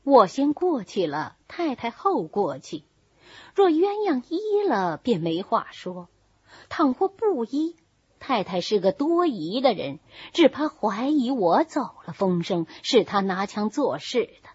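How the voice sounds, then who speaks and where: A woman reading a novel voices a shrewd, flattering young married lady of a rich household in an animated, calculating manner. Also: a little high, measured pace, slightly rough, no room sound, lo-fi sound, heavily acted. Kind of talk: storybook character reading.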